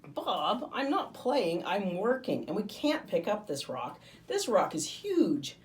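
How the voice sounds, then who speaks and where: A woman's voice: mid-range voice, very animated, takes it slowly, voice pushed up. A woman reading as a curious, bossy young girl in a children's storybook, direct and insistent. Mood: exasperated, amazed.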